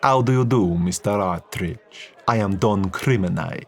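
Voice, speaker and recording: A man voicing a suave Italian crime lord with an Italian accent, giving slow, clipped, guarded answers. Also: on the low side. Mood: cool, curt.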